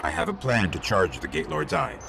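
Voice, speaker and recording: Game robot guide: low register, gravelly edge, wry, clever, conversational delivery. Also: male voice, huge pitch swings, a little fast, noisy background, exaggerated voice. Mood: focused, urgent. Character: small floating robot companion, male, clever, wry, guiding. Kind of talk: scripted video game dialogue. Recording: loud music behind the voice. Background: loud music.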